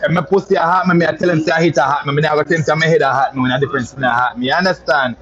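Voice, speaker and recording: A man's voice: fairly high pitch, fairly even intonation, racing, a bit bright, muffled recording. A Jamaican man with a boastful, flamboyant manner, telling a story in casual patois chat and calling listeners "my love". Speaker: social media personality.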